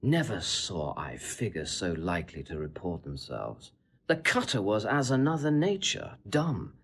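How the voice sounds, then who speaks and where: An actor playing a sly, boastful gentleman seducer in classical Shakespearean audio drama, insinuating and relishing every detail. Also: low voice, huge pitch swings, a little slow, breathy edge, heavily acted. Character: sly, boastful Italian gentleman, smooth-tongued seducer taunting a husband.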